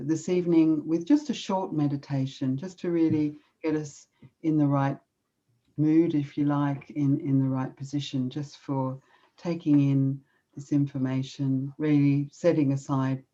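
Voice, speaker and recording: A woman with an Australian accent, speaking from a video call as a guest speaker and leading a gentle, soothing guided meditation. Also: low-pitched, fairly even intonation, unhurried, highs cut off.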